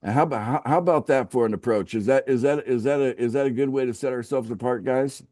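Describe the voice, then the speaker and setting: A middle-aged American man from the West Coast, pitching a business opportunity on a casual group call, often restarting sentences and asking the group for agreement. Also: quick pace, dark tone, dry recording, studio quality. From United States, West Coast. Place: outdoors by a home pool.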